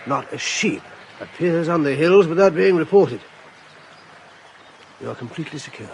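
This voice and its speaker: An assured middle-aged general speaks and narrates in a measured way in a radio play. His voice sits a touch high. A faint hum runs underneath. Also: lively intonation, creaky. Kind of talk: radio drama acting and narration.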